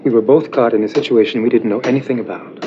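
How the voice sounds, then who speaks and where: An actor playing a smooth, scheming, brazen young heir in a 1980s television soap drama. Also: male voice, mid-range voice, fairly even intonation, fast, noisy background, close to an everyday voice. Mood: brazen, confrontational. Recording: muffled TV soap clip under music.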